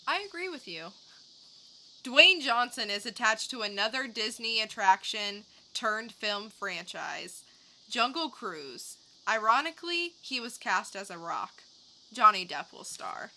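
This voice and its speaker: A woman's voice: a little high, expressive, unhurried, sharp tone, a little hiss or hum. A woman co-hosting a podcast, delivering short news items and remarks with dry, joking timing. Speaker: podcast co-host. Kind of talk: scripted joke news items and casual chat.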